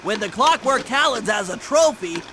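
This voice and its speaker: A man's voice: very high voice, expressive, normal speed, background noise. A man voicing a brainy, fussy young turtle gadget expert with precise, bookish speech in a cartoon game. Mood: earnest, commanding. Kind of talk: cartoon video game briefing. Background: music.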